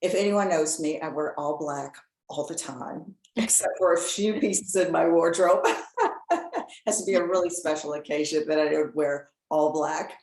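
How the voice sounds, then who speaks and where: A woman hosting a podcast interview, chatting casually and telling lively stories with frequent laughter. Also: sits low, moderate pace. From Chicago area. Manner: lively storyteller, laughs often. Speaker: podcast host. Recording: echoey room.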